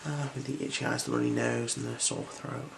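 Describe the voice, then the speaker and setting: A gentle, unhurried ASMR performer speaking softly and slipping into whispers while role-playing an eye examiner. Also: medium pitch, flat delivery. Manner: gentle, unhurried, soothing instructions. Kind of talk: ASMR role-play, soft-spoken with whispers. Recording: clear background noise.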